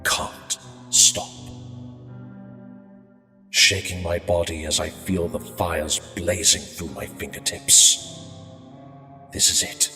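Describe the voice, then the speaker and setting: A man playing an unhinged murderer narrating his haunted tale in a brooding, halting, theatrical horror monologue. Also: deep voice, steady tone, sharp tone, exaggerated voice. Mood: dread, rising panic. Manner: brooding, halting, slow, theatrical prose delivery. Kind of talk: dark dramatic horror monologue. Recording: echoey reverberant hall sound, music behind.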